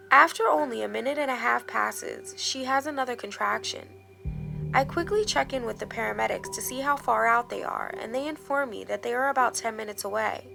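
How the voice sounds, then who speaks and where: A woman reads a scripted dramatic story over clear background music. Her voice sits fairly high and has a marked creak. She tells it in a measured, expressive way. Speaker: horror story narrator. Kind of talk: scripted dramatic first-person narration.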